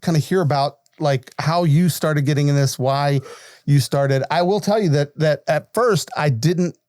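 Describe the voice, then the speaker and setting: A man hosting a casual interview, speaking with effusive enthusiasm and pausing to gather his thoughts. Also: on the high side, darkish tone, no room sound, clean studio sound. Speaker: podcast host.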